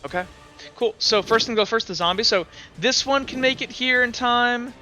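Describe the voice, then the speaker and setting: A man's voice: extremely high pitch, normal speed, bright, forceful tone, faint music behind. A young man running a tabletop game as game master, rambling with fillers and self-corrections.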